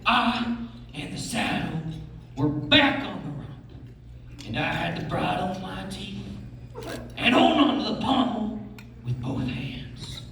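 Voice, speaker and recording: A man playing a boastful, excitable Old West teller of tall tales, emphatic and folksy, on stage. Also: high-pitched, slow pace, gravelly, pauses often, full character voice. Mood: excited boasting. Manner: emphatic, folksy, dramatic storytelling. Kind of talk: stage readers theatre performance. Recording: very echoey hall, far mic, noisy.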